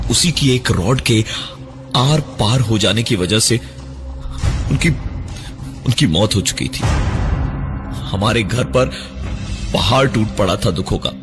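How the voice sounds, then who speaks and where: A man narrating a horror story in the first person, dramatically, with suspenseful, deliberate pauses. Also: mid-range voice. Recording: music behind the voice, 3D voice effects. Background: prominent music.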